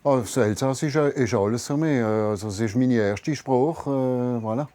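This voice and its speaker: Alsatian guy, carpenter, chill short answers, kinda dark mellow voice. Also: mid-range voice, moderate pace. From Alsace, Sundgau. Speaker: interviewee, cabinetmaker. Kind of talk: short casual interview answers.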